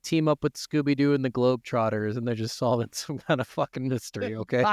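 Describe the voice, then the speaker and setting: A man's voice: medium pitch, quick pace, darkish tone, no room sound, studio quality. A man co-hosting a gaming podcast, giving blunt opinions in casual, informal speech. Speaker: video game podcast co-host.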